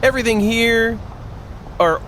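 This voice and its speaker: A man's voice: extremely high pitch, measured pace, fairly bright tone, a little hiss or hum, voice pushed up, poor audio. A man playing a reluctant, wary occupant of an abandoned house in a visual novel, grudging and aloof in manner. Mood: indifferent. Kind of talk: visual novel character reading.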